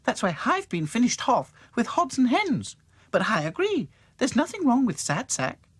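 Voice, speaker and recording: A man's voice: very high-pitched, wildly swooping pitch, moderate pace, slightly rough, no room sound, studio quality, pitched above the performer's own voice, heavily acted. A man voicing a prim princess doll in a storybook.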